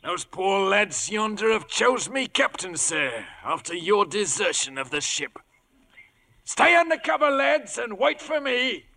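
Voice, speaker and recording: Sly old-radio pirate, slow drawn out sailor talk, sharp edge, swoops around, oily. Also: male voice, high voice, big pitch swings, vocal fry, full character voice. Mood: wheedling. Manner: oily, mock-respectful sailor dialect. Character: sly, wheedling one-legged pirate captain. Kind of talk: 1950s radio drama acting.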